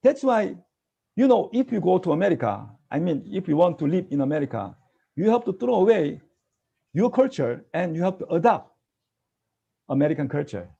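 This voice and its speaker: A middle-aged Korean-accented man lecturing a class with a lively, swooping delivery. His voice is rough with fry and a husky, dark tone. He keeps checking in with tag questions. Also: sits high, normal speed, long pauses, highs cut off. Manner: animated, tag questions, mimics students' replies. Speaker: language teacher. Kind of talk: classroom lecture mixing English and Tagalog.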